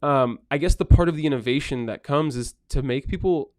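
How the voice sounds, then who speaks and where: A man's voice: medium pitch, brisk, a little mellow, no room sound. A man who owns a restaurant, talking earnestly in a casual podcast conversation and often repeating words as he starts a thought. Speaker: restaurant owner.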